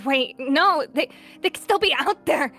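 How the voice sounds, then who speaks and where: A woman's voice: sits high, animated, unhurried, pushed and bright, faint music behind, voice pushed up, exaggerated voice. A woman voicing a distraught young apprentice cat who stammers. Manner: stammering, strained.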